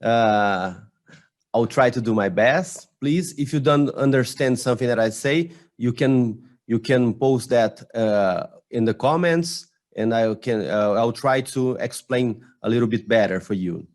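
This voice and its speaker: A man with a Brazilian Portuguese accent presents to a conference over a video call. He talks slowly and carefully and often stops to restart a phrase. His voice sits at an ordinary middle pitch. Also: a little mellow. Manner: slow, halting, with frequent restarts and fillers. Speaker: conference speaker.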